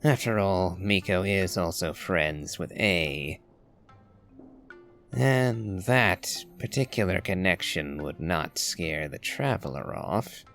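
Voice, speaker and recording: Haughty wry wanderer, low voice, unhurried, dry sarcasm, anime game, music behind. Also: male voice, expressive, gravelly, fairly bright tone, full character voice. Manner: dry, sardonic, formal wording. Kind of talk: anime-style game voice acting.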